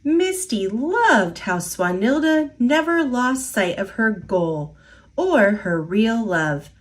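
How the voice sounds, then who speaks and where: A woman reading a children's picture book aloud in an expressive storytelling voice. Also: a little high, slow pace.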